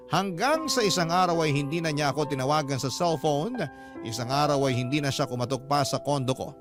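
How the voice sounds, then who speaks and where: A man reading scripted first-person narration as the storyteller of a Filipino radio drama. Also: a little high.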